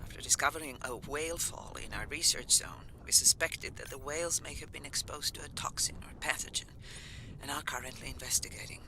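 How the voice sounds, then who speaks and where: An actor playing a serious male marine researcher giving a measured scientific report in a video game. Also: fairly high pitch, steady tone, noisy background, natural voice.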